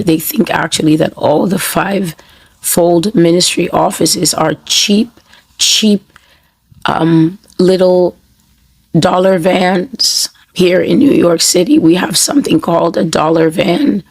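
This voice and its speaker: A woman speaks a sermon with a hoarse, breathy, gravelly voice. She sits a little low and takes her time, building each point through repeated phrases. The sound is dry and close. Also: dry recording. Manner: slow, measured and repetitive, with emphatic phrasing. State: hoarse, has lost her voice. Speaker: prophetic minister. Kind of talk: spoken sermon to camera. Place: New York City.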